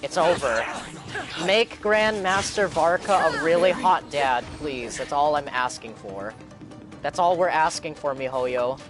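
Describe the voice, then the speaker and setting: Higher-voiced young man streaming. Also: measured pace. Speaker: game livestreamer. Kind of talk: casual live reaction commentary, chatting with viewers. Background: video game music.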